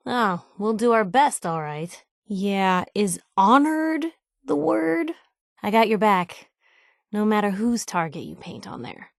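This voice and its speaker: A young woman with an American accent playing a plucky, wisecracking reporter companion in a video game, with a wry, expressive delivery. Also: mid-range voice, no room sound, studio quality, natural voice. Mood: wry, curious. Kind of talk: video game voice acting, in-character banter.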